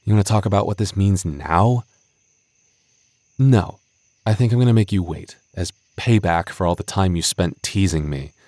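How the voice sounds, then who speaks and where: A man's voice: low voice, moderate pace, darkish tone, no echo, close to an everyday voice. A man playing a flustered, bashful young male game master in an intimate roleplay audio drama, stammering, sighing and mock-stern with his childhood friend. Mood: playful, bossy.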